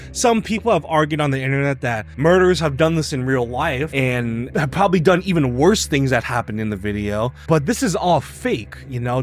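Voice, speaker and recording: A man's voice: medium pitch, slight background noise. A man giving casual, opinionated video commentary in an informal, slangy way that is loose with swearing. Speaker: video essayist. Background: background music.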